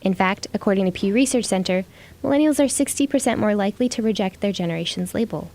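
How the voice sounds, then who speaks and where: Mellow American woman narrating a report. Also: medium pitch, quick pace. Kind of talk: scripted narration.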